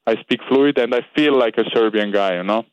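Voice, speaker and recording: A young man with an Austrian German accent, a fighter being interviewed, speaking halting English with many "you know"s. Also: mid-range voice, smooth, no room sound, dull audio. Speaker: guest fighter. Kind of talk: interview over a call.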